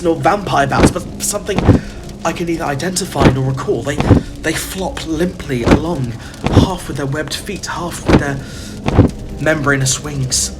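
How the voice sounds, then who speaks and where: An actor playing a terrified narrator in a gothic horror audio drama, speaking haltingly and stammering with dread. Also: male voice, a little high, heavy background noise, close to an everyday voice. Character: terrified male narrator summoned to an ancient family rite.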